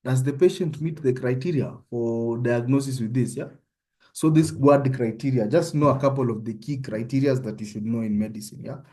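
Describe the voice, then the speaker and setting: Kenyan man tutoring, mellow voice. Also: medium pitch, normal speed. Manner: conversational, often checks in with "yeah?". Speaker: medical student tutor. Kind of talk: informal online tutorial.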